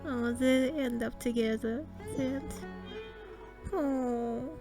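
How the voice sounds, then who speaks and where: A woman gamer reacts casually in a high voice. She speaks very slowly, in soft, short murmurs, with game music clearly behind her. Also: fairly even intonation. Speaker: gamer, streamer.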